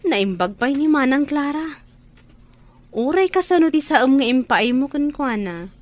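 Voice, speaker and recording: High voice, woman playing younger sister, earnest, pleading, radio drama delivery. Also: expressive, a little slow. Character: younger sister earnestly counseling her hospitalized brother. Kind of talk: Ilocano radio drama acting.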